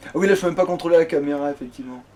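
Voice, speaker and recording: A man's voice: on the high side, racing, darkish tone. A man chatting casually as he plays a game, grumbling and swearing. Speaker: let's play gamer. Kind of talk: casual gaming chat. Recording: clearly noisy home recording.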